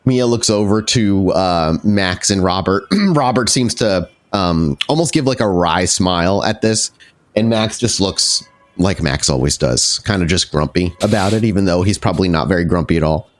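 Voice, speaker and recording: A man narrating a tabletop roleplaying game as game master, in a halting, conversational way. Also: sits low. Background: music.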